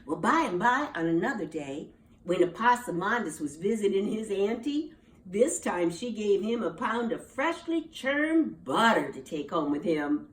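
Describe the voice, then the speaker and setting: A woman telling a children's story aloud in an animated, rhythmic way, with repeated phrases and dramatic pauses. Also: medium pitch. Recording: echoey room, distant from mic.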